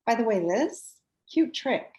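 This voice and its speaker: A woman's voice: fairly high pitch, animated, unhurried, darkish tone. A woman playing a gushing, meddling pregnant best friend with a teasing, theatrical manner in a screenplay table read. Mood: teasing, pointed. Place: remote video call.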